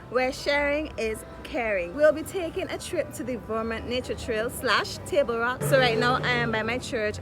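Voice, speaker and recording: Tired, joking woman vlogging on a trail. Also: a little high. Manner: playful and joking, laughs often. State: out of breath, tired from hiking. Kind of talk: casual travel vlog. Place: outdoors on a wooded hill trail. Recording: music playing under the voice.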